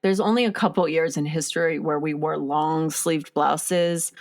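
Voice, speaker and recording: Lower-voiced woman hosting an eager film chat. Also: steady tone, moderate pace, a little mellow. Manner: enthusiastic, agreeing, chatty with many fillers. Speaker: podcast host. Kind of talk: casual film discussion.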